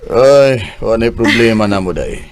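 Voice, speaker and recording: A man's voice: fairly low pitch, a little slow, slight background noise. A man voicing a kindly, approving middle-aged uncle in an amateur radio drama. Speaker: voice actor.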